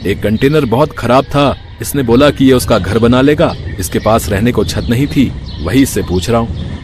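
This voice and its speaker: An actor playing a kindly warehouse boss in a cartoon, familiar, conversational and authoritative. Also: male voice, medium pitch, normal speed, noisy background, natural voice. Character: kindly warehouse boss, casual with his worker.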